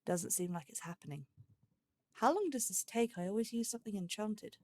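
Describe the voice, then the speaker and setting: A woman's voice: mid-range voice, expressive, brisk, warm tone. A woman giving casual, chatty let's play commentary as a gaming host, thinking aloud as she goes. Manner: thinks aloud, chatty, talks to game animals.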